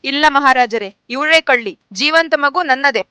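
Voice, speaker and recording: A woman's voice: high-pitched, expressive, moderate pace, sharp tone, dry recording, studio quality. A woman voicing a scheming young woman who insists, accusingly, that a baby is hers.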